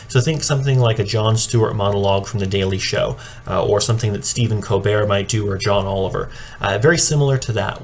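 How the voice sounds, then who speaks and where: Man explaining guidelines, noisy recording. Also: on the low side. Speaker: speech and debate coach training judges. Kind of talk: instructional explainer presentation. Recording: clearly audible background noise.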